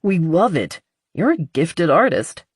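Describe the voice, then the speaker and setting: A woman voicing an excited, affectionate groom in his mid-thirties in a romance audiobook, sincere in manner. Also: on the low side, unhurried, slightly rough, no echo, studio quality, pitched above the performer's own voice, exaggerated voice. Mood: admiring.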